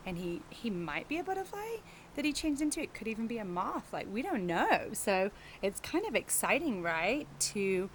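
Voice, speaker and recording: Lively, expressive woman telling stories outdoors. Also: medium pitch, moderate pace. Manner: encouraging, asks young listeners questions. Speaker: teacher reading a picture book to children. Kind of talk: animated story time read-aloud with chatty asides. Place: outdoors in a riverside garden, birds and faint traffic. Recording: faint outdoor birdsong and traffic noise.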